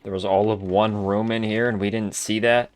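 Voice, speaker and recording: A man's voice: on the low side, level tone, normal speed, clean tone. A man casually reacting to a game as he plays, with dry, muttered asides. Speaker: gamer commenting on a playthrough.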